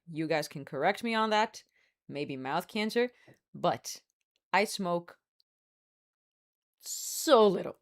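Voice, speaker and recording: A woman from Brazil, hosting a live stream in casual, candid chat, smoking a cigar as she talks and hedging with fillers. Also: fairly low pitch. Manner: chatty and candid, hedging with fillers, laughs often; smoking a cigar while talking. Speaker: livestream host.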